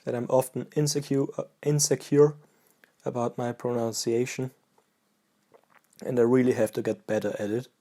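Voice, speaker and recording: A man from Austria speaks English with an Austrian German accent in a relaxed, halting chat to his viewers. His voice is mellow and dark, and his pitch stays flat, with little rise or fall. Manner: halting, relaxed, pauses mid-sentence. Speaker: pipe-smoking vlogger. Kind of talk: casual chat to camera.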